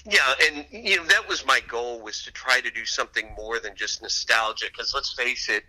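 A man's voice: medium pitch, moderate pace, pushed and bright, low-quality recording. A man speaking as an interview guest and author, in a conversational storytelling style.

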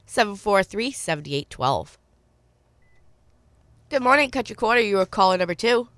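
A woman with a cold hosting a call-in swap show on the radio, reading listings and repeating phone numbers slowly and clearly. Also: mid-range voice, sing-song, a bit bright, no room sound. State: stuffy from a cold.

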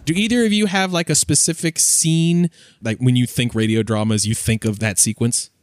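A man's voice: mid-range voice, steady tone, normal speed, slight background noise. A man chatting casually as a podcast host. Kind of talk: casual chat.

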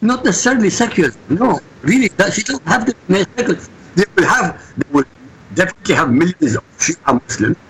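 A man's voice: sits high, lively intonation, fairly quick, fairly bright tone, breathy edge, low-quality recording. A man from Iraq, a guest answering interview questions in an emphatic, insistent way. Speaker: guest commentator.

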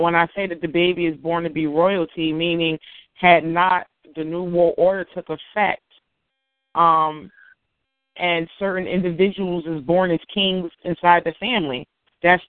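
A woman's voice: fairly low pitch, dry recording. A woman who is a spiritual reader, a guest on a talk show, explaining things in a confident, lecturing way. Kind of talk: casual chat, explaining. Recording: tinny phone line, dry.

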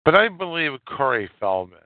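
A man hosting a solo podcast, giving opinionated commentary in halting, emphatic phrases with a lot of repetition. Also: fairly high pitch, big pitch swings, dry recording. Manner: coarse, swearing. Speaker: podcast host. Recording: narrow phone-like line, dry.

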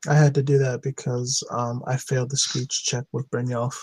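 A man's voice: medium pitch, level tone, normal speed, darkish tone, breathy edge, no echo. A man taking part in a podcast panel, talking casually about a video game.

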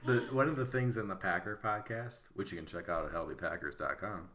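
A man's voice: low voice, fairly quick, fairly bright tone. A man from Wisconsin with a Wisconsin accent, hosting a casual podcast chat and joking as he steers the talk back. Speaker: podcast host. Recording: roomy echo.

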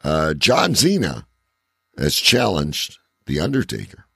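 A man co-hosting a casual podcast, chatting in a blunt, profane, opinionated way with a gravelly, husky voice. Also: rumbling low, wildly swooping pitch, slow, sharp tone, no echo, clean studio sound. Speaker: podcast co-host. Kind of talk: casual banter about wrestling and movies.

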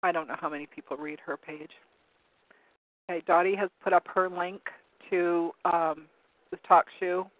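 A hoarse man with a slightly high voice hosts a call-in talk show, rambling and hunting for words as he reads from web pages. His voice comes through a narrow, phone-like line that sounds dry and close, with no room around it. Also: level tone, normal speed, long pauses, dry recording. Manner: rambling, searching for words with many ums. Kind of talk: casual talk radio commentary, reading from web pages.

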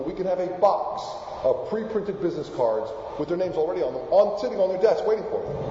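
A man from Argentina gives an animated talk in a large, echoing hall. His voice sits somewhat high but sounds mellow and warm. The recording is dull, and faint room noise sits underneath. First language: Spanish. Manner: animated, asks the audience questions. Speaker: keynote speaker. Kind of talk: lively presentation to a live audience.

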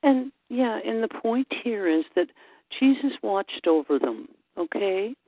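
A woman hosts a casual Bible discussion, talking unhurriedly and pausing with ums as she looks up verses. Her voice sits at a middling pitch. It comes through a narrow, phone-like line with no room sound. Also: very animated, dry recording. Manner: unhurried, thinks aloud with many ums. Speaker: host. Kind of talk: informal Bible study chat. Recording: dry, tinny phone-like line.

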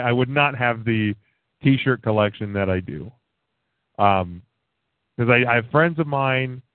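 A man's voice: fairly low pitch, dry recording. A man of thirty co-hosting a wrestling radio show, chatting casually, rambling and restarting his sentences. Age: 30s. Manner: rambling, restarts often, quick to joke. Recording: tinny phone-like sound, dry.

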